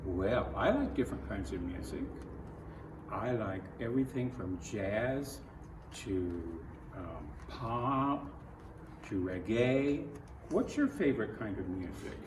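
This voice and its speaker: Slow-paced man reading to children, roomy sound. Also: mid-range voice. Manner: deliberate, simple phrasing, asks the listener questions. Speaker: children's picture book reader. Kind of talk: read-aloud to children with discussion questions. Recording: roomy, distant mic, clearly noisy.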